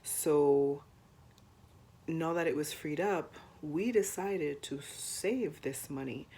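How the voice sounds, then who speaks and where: A young woman co-hosting a personal finance chat to camera, hoarse while getting over the flu, chatty with frequent ums. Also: deep voice, slow, dark tone. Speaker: co-host of a personal finance channel.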